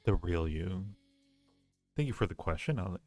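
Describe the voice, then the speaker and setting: A man hosting a casual livestream, answering listener questions in a halting, rambling, reassuring way. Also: sits low, animated, darkish tone, faint music behind. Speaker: livestream host giving advice.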